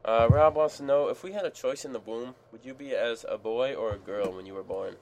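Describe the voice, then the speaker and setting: A man's voice: mid-range voice, fairly quick, a little mellow. A teenage man hosting a casual group podcast, rambling with lots of fillers. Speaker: podcast host. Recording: noisy home recording.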